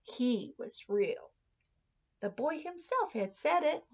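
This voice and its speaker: Female storybook reader, expressive teacherly narration, gravelly fry in the voice, slightly muffled lo-fi sound. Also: sits high, takes it slowly. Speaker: teacher reading a children's story aloud.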